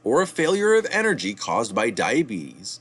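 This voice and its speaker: A man reading a health article aloud, pausing to add citation asides and correct his own slips. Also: medium pitch, huge pitch swings, a bit bright, husky. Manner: reads steadily, adding asides and correcting slips.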